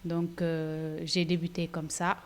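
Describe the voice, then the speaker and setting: A young woman from Senegal, a model, chatting in a relaxed interview with frequent fillers. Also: sits low, takes it slowly. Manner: chatty, laughs easily, hesitant fillers. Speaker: fashion model, interview guest. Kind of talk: casual interview, mixing Wolof and French.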